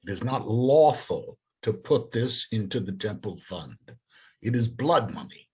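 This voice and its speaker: A reader voicing a defensive man denying an accusation, with a raspy voice. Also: medium pitch, sing-song, measured pace, darkish tone, full character voice. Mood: firm. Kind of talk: dramatic scripture reading.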